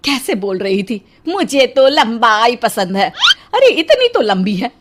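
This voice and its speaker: Woman acting a fretful, expressive cartoon mother-in-law. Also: a little high, a little slow, background noise. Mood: mocking. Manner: complaining, dramatic. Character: short, anxious, fretful middle-aged mother-in-law in high heels.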